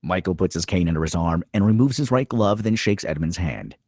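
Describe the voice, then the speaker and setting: A man reads screenplay directions as a dramatic narrator. His voice is very deep and husky, swinging widely in pitch, in a dry recording. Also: lively intonation, a little fast, a little mellow, no room sound.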